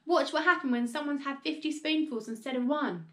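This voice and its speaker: A mischievous married man in a children's tale, voiced by a woman. He goads sweetly and gleefully, his light, raised pitch moving about freely. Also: lively intonation, moderate pace, close to an everyday voice. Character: sly, mischievous husband, gleeful about his mother-in-law's fate.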